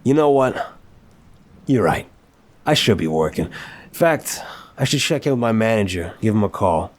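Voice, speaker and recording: Man acting a suave, low-voiced flirty mafia boss. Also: animated, lightly acted. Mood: playful. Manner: teasing, smooth-talking, drawn-out emphasis. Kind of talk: intimate ASMR audio roleplay.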